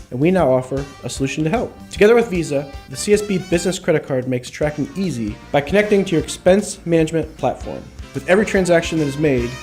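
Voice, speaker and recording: An American man reads a scripted bank commercial for radio. His voice is a bit higher than average. Guitar music plays behind him. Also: a little mellow. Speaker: bank manager as ad spokesman.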